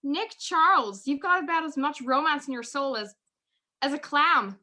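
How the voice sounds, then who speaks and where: A woman's voice: sits high, normal speed, bright, forceful tone. A young woman playing a witty, teasing detective's wife in an amateur 1940s-style radio drama. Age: young adult.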